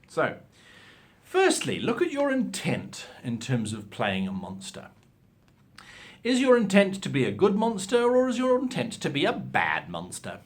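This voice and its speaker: Animated man giving instructional talk. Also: on the high side, measured pace. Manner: theatrical, emphatic, with rhetorical questions. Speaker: host of role-playing advice show.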